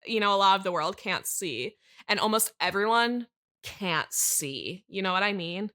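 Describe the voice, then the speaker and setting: Casting: a woman as a flighty manic pixie dream girl, bright forward voice, earnest and whimsical, improvised comedy chat. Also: mid-range voice, lively intonation, moderate pace. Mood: earnest, opinionated. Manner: airy non sequiturs delivered in earnest. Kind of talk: improvised comic character interview.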